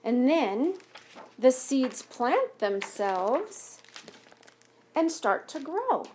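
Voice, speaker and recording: A woman with a fairly high voice reads a children's story aloud at an unhurried pace. She is expressive and stops to question and explain in simple terms, as a teacher does for young pupils. There is faint noise behind her. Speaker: elementary school teacher reading aloud to students. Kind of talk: children's picture book read-aloud with explanatory asides and questions.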